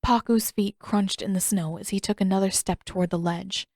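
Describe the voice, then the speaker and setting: A woman's voice: mid-range voice, steady tone, normal speed, darkish tone, no room sound, studio quality. A woman reading scripted fiction aloud as an audiobook narrator.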